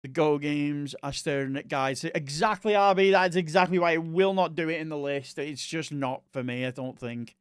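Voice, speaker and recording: A man's voice: a little high, steady tone, normal speed, few pauses, smooth, no room sound, clean studio sound. Chatty Northwest English streamer man. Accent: Northwest English, mix of Manchester and Liverpool. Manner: rambling, chatty, self-correcting. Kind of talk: casual live-stream chat reading viewer messages.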